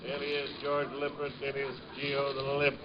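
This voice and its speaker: An actor playing an official police lineup caller, announcing names in an old radio drama. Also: male voice, medium pitch, completely flat delivery, moderate pace, natural voice. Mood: official, detached. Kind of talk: 1940s radio acting, roll call. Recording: muffled, distant, crowd behind.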